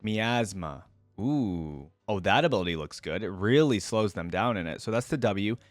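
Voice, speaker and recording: A man's voice: on the low side, lively intonation, normal speed, dry recording, faint music behind. A man hosting a reaction video, commenting casually and reading aloud as he goes.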